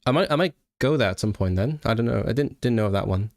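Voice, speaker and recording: A young man from Hong Kong with a mixed British English accent, hosting a relaxed language livestream and answering viewers with lots of hesitant restarts. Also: medium pitch, fast, no echo, clean studio sound. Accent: British English mix. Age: young adult. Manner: hesitant, many restarts and fillers, self-deprecating. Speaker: language channel host; language learner and student. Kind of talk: casual livestream chat reading viewer comments.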